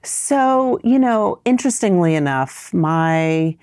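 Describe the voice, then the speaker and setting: A woman's voice: fairly low pitch, animated, takes it slowly, a little mellow, clear, resonant tone, no room sound, studio quality. A middle-aged woman raised in New York, answering interview questions in a thoughtful, winding storytelling way. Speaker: podcast guest.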